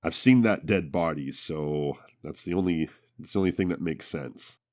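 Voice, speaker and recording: A man's voice: a bass voice, moderate pace, dry recording. A man playing a tabletop roleplaying game, talking casually with wry, theatrical reactions. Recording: music behind, close dry sound. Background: music.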